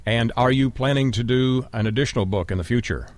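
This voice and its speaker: A man hosting an interview show, asking questions in a measured, courteous way. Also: medium pitch, dry recording. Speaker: radio show host.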